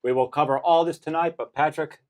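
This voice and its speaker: A man's voice: on the high side, steady tone, brisk. A man co-hosting a local TV talk show, asking eager questions that sometimes stumble. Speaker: co-host.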